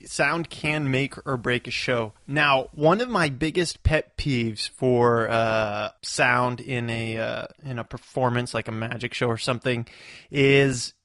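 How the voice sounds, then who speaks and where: A man with a middle-pitched voice chats easily on a podcast and gives his opinions freely. He talks as a touring performer. The sound is dry and close. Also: takes it slowly, no room sound. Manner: relaxed, easygoing, opinionated. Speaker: podcast co-host. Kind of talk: casual chat.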